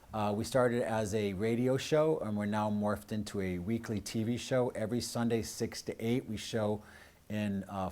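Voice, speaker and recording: Low-voiced American man hosting a community show. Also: darkish tone. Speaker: host of a community radio and TV show. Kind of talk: unscripted closing address to audience with thanks.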